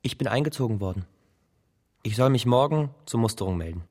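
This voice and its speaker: Actor as quiet, husky young son. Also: male voice, medium pitch, lively intonation, a little fast, lightly acted. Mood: subdued. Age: young adult. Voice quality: breathy, husky. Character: Patrick, dutiful, restless young son caring for mother. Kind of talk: naturalistic German radio drama acting.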